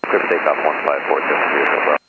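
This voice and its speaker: A man's voice: extremely low pitch, flat delivery, racing, vocal fry. A man, a pilot giving clipped readbacks over aviation radio. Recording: tinny, noisy aviation radio.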